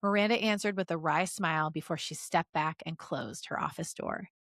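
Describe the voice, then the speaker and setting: A woman podcast host reading fan fiction aloud as an expressive story narrator. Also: deep voice, normal speed, dry recording, studio quality.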